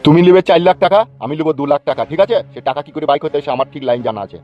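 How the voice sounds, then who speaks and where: A man's voice: a little high, racing, dull audio, natural voice. A man playing a bossy, crooked, foul-mouthed village politician in a cartoon comedy, blustering and commanding. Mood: scheming, commanding. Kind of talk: Bengali cartoon comedy dialogue.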